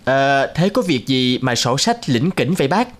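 A man's voice: mid-range voice, sing-song, normal speed, natural voice. A man voicing a middle-aged father in a cartoon, conversational and a little grudging. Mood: offhand, grudging. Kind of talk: animated cartoon dub.

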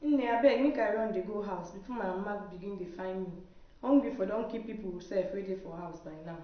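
A woman's voice: mid-range voice, brisk, close to an everyday voice. A woman with a Nigerian accent playing a young village woman in a Pidgin radio drama. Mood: hurried. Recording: muffled, roomy, music behind.

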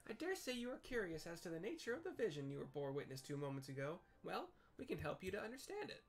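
A man's voice: sits high, very animated, quick pace, vocal fry, a little hiss or hum, pitched above the performer's own voice, full character voice. A man voicing an earnest, cheery young female adventurer recruiter in a fantasy game, with formal, eager phrasing. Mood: earnest, inviting.

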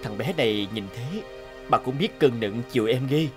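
A man's voice: mid-range voice, moderate pace, soft background music, lightly acted. A man voicing a kind, friendly young father who repairs bikes in a cartoon, speaking reassuringly. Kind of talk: cartoon voice acting.

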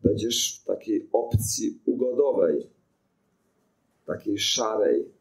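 A man's voice: lowish voice, slow, gravelly, rough recording. An older man, a priest, giving an informal teaching talk into a handheld mic, telling anecdotes and putting rhetorical questions to his listeners. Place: small studio in front of a brick wall. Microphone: handheld microphone.

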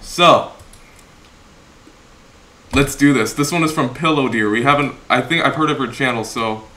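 A man in his twenties hosting a reaction video in casual chat, excitable and repeating his phrases. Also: medium pitch, moderate pace. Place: small room indoors. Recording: roomy sound, faint noise.

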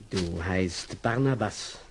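An actor playing a gentle, tender father in a children's radio play. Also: sits low, drawn-out words, background noise, heavily acted. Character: gentle, moved father meeting his son.

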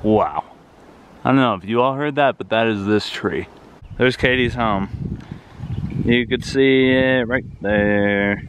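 A young man chatting on a travel vlog with dry, deadpan humor, recorded on a handheld camera. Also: mid-range voice, slow pace, pauses often. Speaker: vlogger. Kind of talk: casual travel vlog chat. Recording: camera audio with loud music behind.

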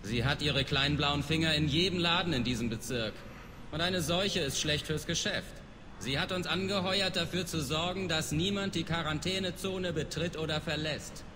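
An actor playing a dismissive alien mercenary guard in a video game, curt and contemptuous of humans. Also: male voice, fairly high pitch, normal speed, pushed and bright. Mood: scornful. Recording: reverberant game scene with clear background noise.

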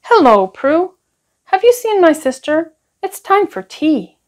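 Storybook small boy, earnest, high and lilting, performed by a woman. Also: big pitch swings, slow pace, heavily acted. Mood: earnest, questioning. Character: earnest little boy searching for his sister.

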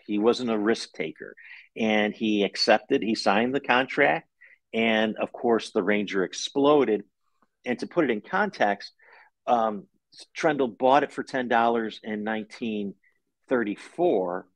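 A middle-aged man speaks as an interviewed author, laying out a story step by step. His delivery is measured and conversational, with some halting moments. He is on a video call from home, with very little room echo. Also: on the low side.

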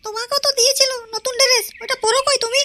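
A woman's voice: extremely high pitch, fairly even intonation, quick pace, very noisy, voice pushed up. A performer voicing a fussy village wife and mother in a cartoon, whiny and pleading. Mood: fretting.

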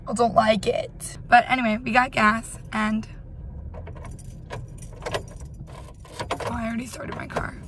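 A young woman talking to her phone from the driver's seat, rambling and self-mocking in a fairly high voice. Her chatter is recorded on a dashboard phone, with heavy road and engine rumble underneath. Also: level tone, slow, long pauses. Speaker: vlogger. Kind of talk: casual chatty car vlog. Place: inside a car, driving. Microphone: phone camera on the dashboard. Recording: loud road and engine noise.

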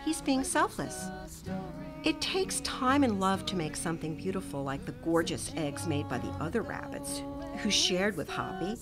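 A middle-aged woman reading a children's picture book aloud with gentle, expressive storytelling and soft whispered asides. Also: lowish voice, moderate pace. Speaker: storytime reader. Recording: music playing under the voice.

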